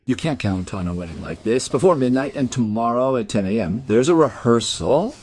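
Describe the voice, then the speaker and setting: A man playing a busy musician husband in a radio drama, husky and businesslike, absorbed in his work. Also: mid-range voice, expressive, brisk, a little mellow, close to an everyday voice. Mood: busy, preoccupied.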